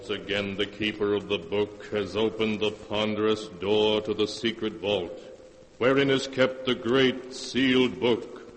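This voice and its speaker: A man performing a brooding keeper of secrets, narrating a 1940s radio horror tale in a low, unhurried, drawn-out voice. The old broadcast carries long reverb and a faint hiss. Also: steady tone, close to an everyday voice. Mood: ominous. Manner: slow, sonorous, drawn-out delivery. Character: ominous male narrator of dark tales.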